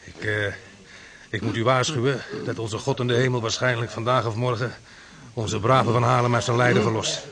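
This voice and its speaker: A man's voice: mid-range voice, fairly even intonation, normal speed, a bit bright, close to an everyday voice. An actor playing an earnest, plain-spoken seaman of a stranded crew in a radio drama. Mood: grave.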